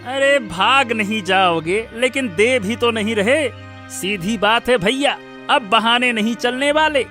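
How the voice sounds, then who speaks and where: A cartoon village shopkeeper, acted by a man, greedy and rude. The voice is very high and piercingly bright. He speaks in curt, scornful bursts, always scolding and arguing. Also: a little slow, soft background music, full character voice. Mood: angry, insistent. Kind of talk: Hindi cartoon moral story acting.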